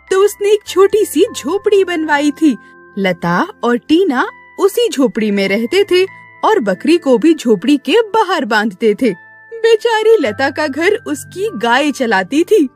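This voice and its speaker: High-pitched, expressive woman storyteller. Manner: expressive, sympathetic. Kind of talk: scripted children's moral story narration. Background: music.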